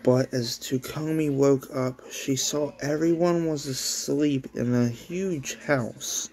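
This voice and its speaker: A man reading a story aloud in a halting, casual way with sweary asides, like an amateur read-along. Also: mid-range voice, mellow, poor audio. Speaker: amateur reader of own anime story.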